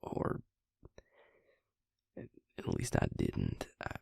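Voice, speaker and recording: A man playing an affectionate, laid-back vampire mate in an intimate roleplay audio, speaking in a breathy, gravelly murmur with tender, hesitant pauses. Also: darkish tone, no room sound, in a whisper, studio quality. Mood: musing, relaxed.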